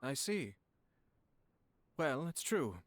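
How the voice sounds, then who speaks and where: An actor playing a courteous young noble clan head in an anime game, speaking in a formal, measured, genteel manner. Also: male voice, fairly high pitch, sing-song, a little hiss or hum, exaggerated voice. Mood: composed. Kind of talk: anime video game voice acting. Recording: music playing behind the voice.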